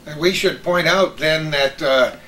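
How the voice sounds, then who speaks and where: A man's voice: a little high, measured pace, a bit bright. An older man hosting a casual group chat. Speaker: host of a local radio reminiscence show. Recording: echoey room with clear background noise.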